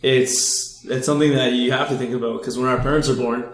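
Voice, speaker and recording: A man's voice: mid-range voice, fairly even intonation, brisk. A man, chatting casually on a podcast. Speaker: podcast participant. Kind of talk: casual chat. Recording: roomy, echoing sound.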